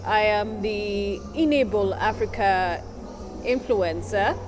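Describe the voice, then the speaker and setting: A woman from Nairobi, answering interview questions in an articulate, measured and earnest way. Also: medium pitch, music in the background. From Kenya, Nairobi.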